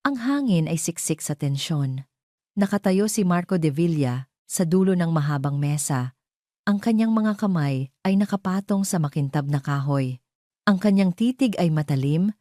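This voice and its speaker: Filipina woman reading a dramatic story, low soft-edged voice, really dry sound. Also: dry recording. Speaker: narrator. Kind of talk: scripted dramatic story narration.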